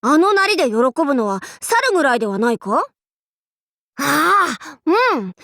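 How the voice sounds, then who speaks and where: A woman's voice: sits high, very animated, unhurried, gravelly, a bit bright, no room sound, studio quality, heavily acted. A woman playing a proud, boisterous warlord in an anime game, with pompous, playful, archaic lordly speech. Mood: dismissive. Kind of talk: anime game character voice lines.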